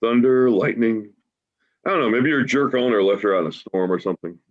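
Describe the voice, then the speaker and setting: A man in his forties acting a grieving, wry homeless man in a staged play reading, halting and emotional in a conversational way. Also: mid-range voice, lightly acted. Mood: wry, easygoing. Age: 40s. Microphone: video call. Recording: dull, lo-fi video call audio.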